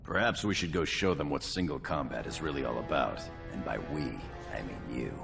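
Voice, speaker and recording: A really deep gravelly fantasy game warrior, wry loyal sidekick to a lord, music behind him. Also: male voice, a little fast. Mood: wry, relaxed. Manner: dry, wry, deferential. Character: loyal sardonic male warrior second to a young noble lord.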